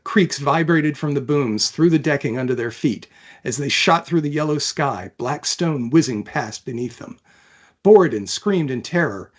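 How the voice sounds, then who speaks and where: A man's voice: mid-range voice, lively intonation, normal speed. A man reading his own fantasy novel aloud as dramatic, scripted narration.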